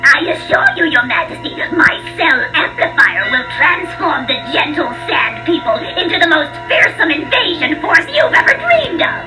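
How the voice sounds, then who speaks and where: A woman's voice: high voice, sing-song, moderate pace, few pauses, far from the mic, music in the background, poor audio. A woman voicing a wicked, scheming old witch in a children's storybook dramatization. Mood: gloating.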